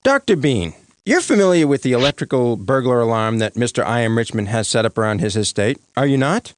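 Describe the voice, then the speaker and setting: A man's voice: mid-range voice, very animated, fairly quick, dry recording, natural voice. Man acting a theatrical, swooping cartoon lawyer. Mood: self-important. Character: pompous, theatrical male prosecuting lawyer. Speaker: lawyer character. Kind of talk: animated courtroom cartoon voice acting.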